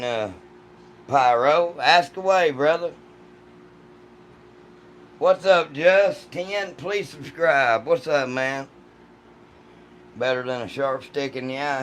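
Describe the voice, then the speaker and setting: A man with a deep, rough voice chats casually and jokingly with his livestream audience in a folksy, salty way. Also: a bit bright, slight background noise, rough recording. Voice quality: deep, rough voice from vocal cord polyps. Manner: folksy, joking, foul-mouthed. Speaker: livestream host reading and answering chat.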